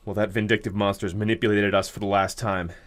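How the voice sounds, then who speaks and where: A male actor plays a desperate grown son in a radio thriller, framed for murder and bent on revenge. His low voice is overwrought and prone to stammer under pressure. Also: level tone, fast, natural voice. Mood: bitter rage.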